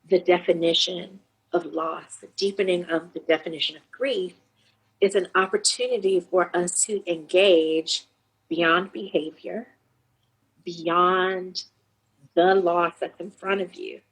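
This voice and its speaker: A woman's voice: fairly low pitch, a little slow, breathy edge, rough recording. A middle-aged woman therapist talking earnestly about grief in an interview, acting out kids' replies now and then. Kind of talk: reflective interview talk.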